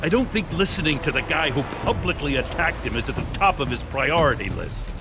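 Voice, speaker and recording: Animated, exasperated police lieutenant played by a man. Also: on the high side, fairly quick, lightly acted. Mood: skeptical. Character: gruff, exasperated male police lieutenant, protective. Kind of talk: dramatic video game voice acting. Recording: noisy action scene ambience.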